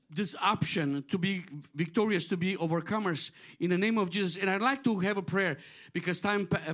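A middle-aged man from Romania preaching with a high-pitched voice. He speaks earnestly in a repetitive preaching cadence and often stumbles and restarts his phrases. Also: fairly bright tone. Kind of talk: sermon.

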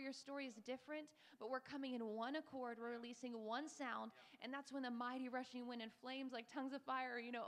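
A young woman in her twenties giving a fervent preaching testimony on a church panel. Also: high-pitched. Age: 20s. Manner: fervent, breathy preaching, mimics tongues. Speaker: panel speaker.

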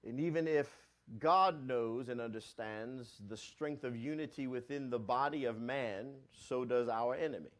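A man pastor preaches at an even middle pitch. His delivery surges loud and high on key points, then sinks into quiet, low asides. He restarts and repeats phrases as he goes. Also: unhurried, few pauses. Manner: swells louder on key points and drops low and soft on asides. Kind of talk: sermon.

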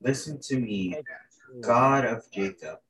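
A young man with a deep voice reads scripture aloud during a Bible study. He reads in a halting way and trips over harder words. His pace is very slow. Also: a crowd in the background. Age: young adult.

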